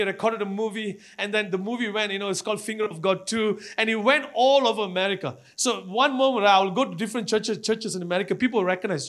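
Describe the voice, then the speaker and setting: Male preacher, Malaysian accent, high-pitched voice, impassioned and exhorting sermon delivery with frequent repetition. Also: a bit bright. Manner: repetitive, exhorting, often addressing the congregation.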